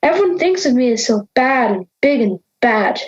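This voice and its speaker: A woman's voice: on the high side, big pitch swings, normal speed, highs cut off. A young woman playing a misunderstood wolf in an audio drama, plaintive and protesting, hurt at being feared. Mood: indignant, hurt.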